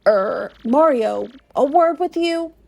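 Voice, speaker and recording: A woman's voice: high voice, expressive, slow, vocal fry, a little mellow, highs cut off, full character voice. A woman voicing a nervous, bumbling old male professor in a video game read-aloud, hesitant and self-scolding, with music behind. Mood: worried, flustered.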